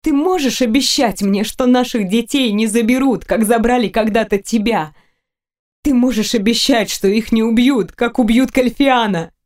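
A woman's voice: fairly high pitch, moderate pace, dark tone, close to an everyday voice. A woman voicing an earnest young fairy woman in an audiobook, sorrowful yet resolute, with an emotional, pleading manner. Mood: challenging, pleading. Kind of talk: audiobook character voice.

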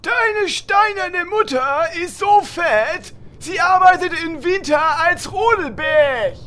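A man playing a cheeky, brash little hero who mocks loudly in a fantasy parody audio drama. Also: very high voice, lively intonation, slow pace, heavily acted. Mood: taunting. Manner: loud, mocking taunts.

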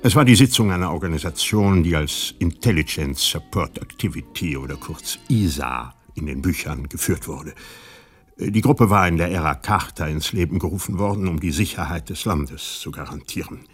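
An actor playing a sober investigator who narrates a radio play in a deliberate, measured, husky way. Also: male voice, deep voice, animated, faint music behind. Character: sober male investigator recounting a conspiracy he uncovered.

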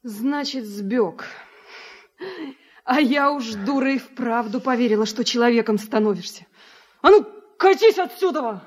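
An actress playing a caring but sharp-tongued young farm woman in a radio drama. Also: on the high side, lively intonation, a little slow, husky. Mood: angry, scornful. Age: young adult. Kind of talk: Soviet radio drama acting.

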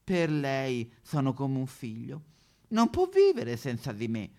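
A man's voice: medium pitch, sing-song, slow, warm tone. A man voicing a bitter, fearful mongrel dog in a satirical stage monologue, grumbling in colloquial Roman-accented Italian. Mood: exasperated. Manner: grumbling, colloquial, exaggerated complaining.

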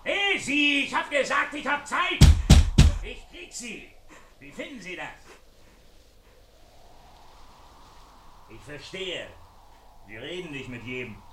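A menacing, wounded intruder in an old radio thriller. The voice is high and sharp, swooping widely as he taunts and sneers. It sounds roomy and set back from the microphone. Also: lively intonation, measured pace, distant mic, background noise. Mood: mocking menace. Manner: taunting, sneering, falsely familiar. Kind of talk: German radio crime play acting. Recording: vintage radio play, roomy, off-mic, music behind.

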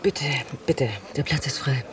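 A woman's voice: extremely low pitch, unhurried, a crowd in the background. An actress playing a polite, hesitant, slightly restless woman traveller in a radio drama. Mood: polite, reserved. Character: reserved, restless woman travelling to an old acquaintance. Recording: vehicle noise behind.

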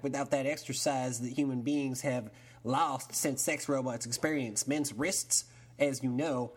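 Man playing a slow-witted Texan caller; husky, rambling drawl. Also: mid-range voice, lightly acted. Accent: Texan, put on. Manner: rambling, full of fillers, drawling. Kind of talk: improvised call-in sketch.

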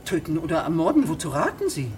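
Indignant, imperious magistrate, animated delivery. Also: female voice, low voice, fast, a little hiss or hum. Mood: officious, impatient. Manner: pompous, haughty, officious. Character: imperious, indignant female magistrate eager for an execution. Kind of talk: radio drama acting.

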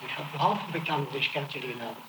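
A man's voice: medium pitch, racing, roomy sound, noisy background. A man under interrogation, giving defensive and evasive answers on an archival tape.

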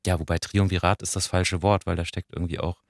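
A man's voice: low voice, flat delivery, quick pace, gravelly, no echo, clean studio sound. A man co-hosting a podcast, explaining and reviewing in a conversational way with small asides. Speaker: podcast co-host. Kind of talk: conversational radio play review.

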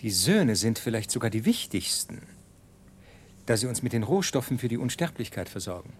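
A man's voice: mid-range voice, sing-song, moderate pace, a little hiss or hum, natural voice. An actor playing a self-satisfied, dogmatic king in a science fiction radio drama, proclaiming his lines like clipped slogans.

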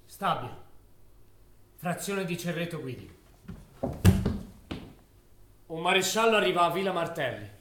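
A man's voice: a little high, lively intonation, unhurried, pauses often. A man, a stage actor, performing dramatic scripted narration as a theatrical storyteller. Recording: echoey theatre recording with faint noise.

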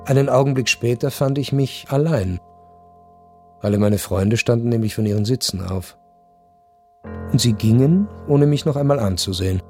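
A man in a radio play acting a melancholy, detached baron who tells his story in measured, sombre literary narration. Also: mid-range voice, darkish tone, soft background music, close to an everyday voice. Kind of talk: literary first-person narration in radio drama.

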